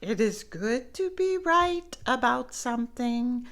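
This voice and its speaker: A woman voicing a wise old grandmother squirrel who gives slow, calm advice. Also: on the high side, voice pushed up, exaggerated voice.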